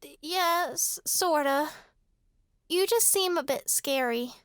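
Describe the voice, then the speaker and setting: A woman's voice: sits high, takes it slowly, fairly bright tone, dry recording, clean studio sound. A woman playing a vain, fussy, spoiled little girl in a radio drama, with a petulant, blunt manner. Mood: wary. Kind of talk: horror radio drama acting.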